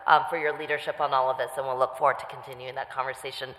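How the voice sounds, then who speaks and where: A councilwoman speaking at a public hearing, measured, with frequent fillers. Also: a bass voice, little pitch movement, fairly bright tone. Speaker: city councilwoman. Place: city council hearing room. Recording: echoey council chamber with long reverb.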